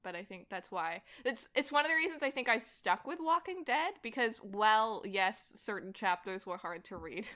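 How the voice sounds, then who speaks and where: A woman's voice: medium pitch, lively intonation, moderate pace, few pauses, pushed and bright. A woman chatting casually and enthusiastically as a guest on a podcast. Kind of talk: casual chat.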